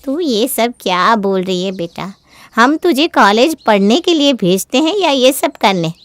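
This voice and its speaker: Woman playing a clear-voiced, fretful scolding mother. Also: a little high, lively intonation, unhurried, dry recording. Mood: dismayed. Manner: fretful, admonishing. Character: worried, scolding middle-aged Indian mother of a grown daughter.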